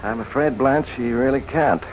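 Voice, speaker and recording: An actor playing a calm, tactful American male physician in a 1940s radio drama, explaining patiently with small hesitations. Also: medium pitch, natural voice, poor audio. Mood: calm, explanatory. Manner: measured, hesitant with soft "uh"s. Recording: old 1940s radio recording with music.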